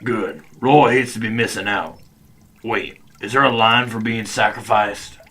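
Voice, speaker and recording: An actor playing a cocky, simple-minded space adventurer who talks about himself by name in a comedic radio drama. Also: male voice, lowish voice, unhurried, fairly bright tone. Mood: eager. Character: cocky, dim, pleasure-seeking space adventurer who speaks in third person.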